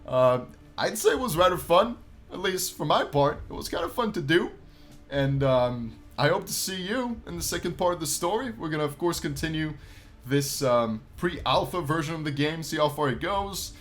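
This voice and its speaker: Lively, higher-pitched male gaming host. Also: moderate pace, faint music behind. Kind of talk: visual novel let's play, reading game text aloud with casual commentary.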